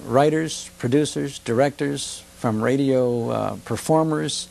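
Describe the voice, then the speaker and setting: An older man with a gravelly voice, explaining and recounting things conversationally as a broadcaster being interviewed. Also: medium pitch, a little slow, a little mellow. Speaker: radio show broadcaster, interview guest. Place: television studio.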